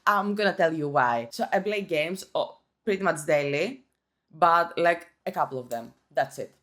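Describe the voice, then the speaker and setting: Low-voiced Greek woman, chatty. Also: animated, moderate pace, a bit bright. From Greece. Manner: chatty, self-conscious, with frequent restarts and fillers. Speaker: YouTuber. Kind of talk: casual Q&A chat.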